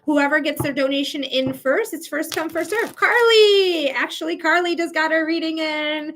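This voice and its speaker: A woman's voice: high-pitched, moderate pace, a bit bright, a little hiss or hum. A bubbly, chatty woman with a Michigan accent hosting a live psychic reading stream, laughing often as she talks to viewers. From Michigan, United States. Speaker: psychic reader hosting a livestream. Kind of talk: casual livestream chat.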